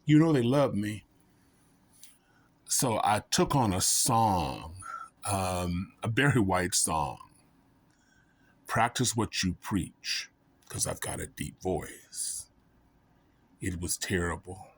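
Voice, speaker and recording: A man's voice: sits low, lively intonation, slow pace, long pauses, slightly rough. A man vlogging in a casual, comedic storytelling style, rambling and folksy, often making fun of himself. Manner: rambling, self-mocking, folksy.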